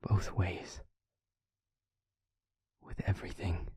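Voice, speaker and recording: A man playing a gentle, devoted vampire boyfriend in an intimate audio roleplay, speaking tenderly and earnestly in a husky half-whisper. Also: no room sound, close to an everyday voice. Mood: earnest, loving. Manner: slow, halting, tender, earnest delivery. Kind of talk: intimate audio roleplay, ASMR-like scripted acting.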